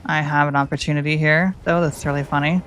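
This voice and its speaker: A woman's voice: deep voice, steady tone, fast talker, fairly bright tone, a little hiss or hum. A woman streamer with a feminine voice, chatting casually and rambling with many restarts while she plays. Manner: rambling, self-interrupting, joking. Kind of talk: casual gaming stream chat.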